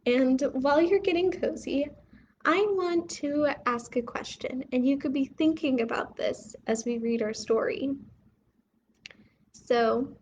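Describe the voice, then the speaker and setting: A woman hosting a bedtime read-aloud for young children, expressive and putting questions to her listeners. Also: high-pitched, lo-fi sound, a little hiss or hum. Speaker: children's story reader and host.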